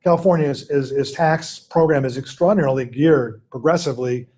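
An older man speaks over a video call from a home office, his tone mellow and relaxed. He answers questions in a thoughtful way and often repeats small words while he works out his point. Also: mid-range voice, fairly quick. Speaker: investment firm executive, guest. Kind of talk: keynote conversation, answering questions.

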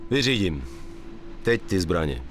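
A man's voice: deep voice, big pitch swings, faint music behind. A man voicing a cool, laconic mobster in a Czech video game dub. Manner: terse, matter-of-fact.

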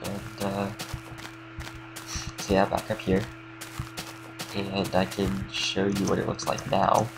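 A young man with a slight cold, casually explaining a game build in a relaxed, rambling way with lots of fillers. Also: low-pitched, slow, vocal fry, long pauses, music in the background, low-quality recording. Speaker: game tutorial creator. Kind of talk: casual gameplay tutorial commentary.

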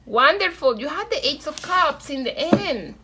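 A woman's voice: high-pitched, expressive, moderate pace, noisy background. A woman from Venezuela with a Venezuelan Spanish accent, giving a casual, encouraging tarot reading and addressing viewers affectionately. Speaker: tarot reader.

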